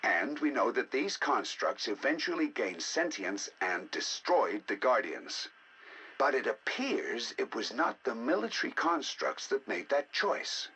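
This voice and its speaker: An actor playing a learned, eager researcher, narrating game audio logs in a measured, articulate, intrigued manner. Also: male voice, mid-range voice, big pitch swings, sharp tone, slight background noise. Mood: measured, scholarly. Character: learned male researcher, eager and fascinated by alien ruins.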